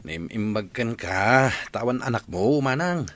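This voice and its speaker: A man's voice: mid-range voice, lively intonation, unhurried, a bit bright. A man playing a troubled, coaxing father in a radio drama, trying to persuade and placate.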